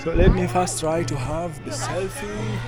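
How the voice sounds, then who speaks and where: Chatty young vlogger. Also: male voice, sits high, a little slow, loud music behind the voice. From South Sudan. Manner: chatty, keeps addressing viewers as guys. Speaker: travel vlogger. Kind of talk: casual travel vlog. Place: outdoors at a busy temple site. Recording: street noise outdoors.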